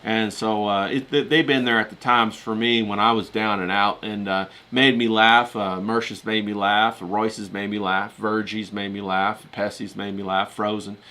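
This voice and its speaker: Male streamer, lowish pitch, very bright punchy tone, folksy and colloquial, chatty rambling solo delivery. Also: flat delivery, moderate pace, few pauses. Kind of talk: casual chatty livestream monologue.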